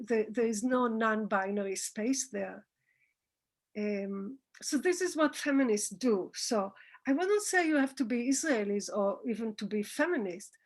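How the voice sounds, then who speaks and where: High-voiced woman scholar searching for words. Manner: halting, searching for words, rephrasing often. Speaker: scholar and author answering questions. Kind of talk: academic talk answering audience questions.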